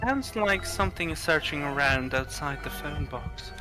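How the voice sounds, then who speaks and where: A man narrating a horror game on a livestream, reading aloud deliberately with pauses. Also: a little high, expressive, over music, poor audio. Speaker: streamer reading a visual novel aloud.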